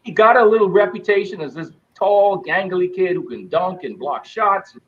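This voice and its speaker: A middle-aged man tells stories and acts out the voices of the people in them. His voice sits slightly high. The sound is a little dull and muffled. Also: moderate pace. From Bahamas. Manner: animated storyteller acting out quoted voices. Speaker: guest, former basketball player. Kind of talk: storytelling interview.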